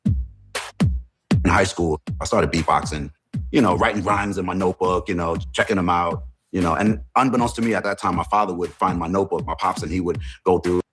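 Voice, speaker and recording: Deep voiced guy from jamaica, laid back storytelling, music playing under him. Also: fairly quick. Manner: relaxed storytelling, frequent "you know". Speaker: documentary interviewee. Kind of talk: recalled life story. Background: music bed.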